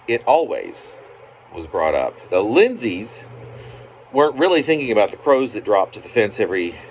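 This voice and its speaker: A man reading his own narrative poem aloud as its author, in halting phrases with pauses mid-sentence. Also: medium pitch. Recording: muffled, noisy amateur home recording.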